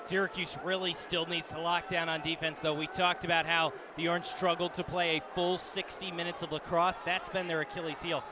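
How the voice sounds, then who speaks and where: High-voiced male color commentator, noisy venue. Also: flat delivery, a little fast, hardly any pauses. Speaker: color commentator on sports radio. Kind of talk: live lacrosse analysis commentary. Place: live broadcast at a sports venue. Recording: noisy outdoor stadium ambience.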